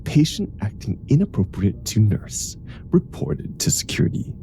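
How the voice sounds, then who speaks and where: A woman's voice: rumbling low, very animated, moderate pace, gravelly, mellow, soft background music, full character voice. A woman playing an exasperated, stubborn superhero in comedic audio roleplay, dry, grumbling and sarcastic. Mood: irritated. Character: exasperated, sardonic female superhero, stubborn hospital patient.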